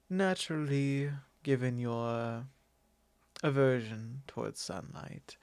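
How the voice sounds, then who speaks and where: Man playing a smug, gravelly vampire lord. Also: medium pitch, no room sound. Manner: formal, unhurried, condescending, with soft chuckles. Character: possessive, smug, courtly male vampire lord. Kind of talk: ASMR roleplay, intimate monologue.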